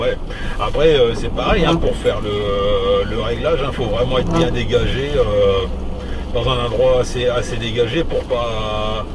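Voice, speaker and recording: A man chatting casually about technical matters as a radio hobbyist, hesitant and often repeating himself, over a radio link. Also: lowish voice. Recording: distant, very noisy CB radio transmission.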